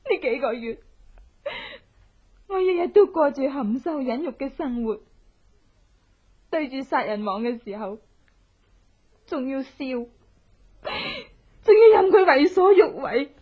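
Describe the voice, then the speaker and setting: A wronged widow in an old radio play, really high voice, melodramatic, muffled lo-fi sound. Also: female voice, very animated, takes it slowly, pauses often, exaggerated voice. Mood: bitter, sorrowful. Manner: melodramatic, anguished. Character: grieving, humiliated general's widow set on revenge. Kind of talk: Cantonese radio drama acting. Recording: dull, lo-fi old radio drama.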